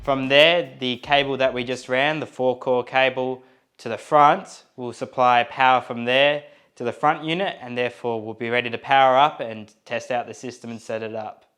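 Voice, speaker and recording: A man's voice: mid-range voice, fairly even intonation, normal speed, fairly bright tone, clean tone. A young man, an electrician, giving a casual and easygoing explanation of a job to camera. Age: young adult. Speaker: electrician presenting an installation. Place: indoors in a house. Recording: faint music behind the voice.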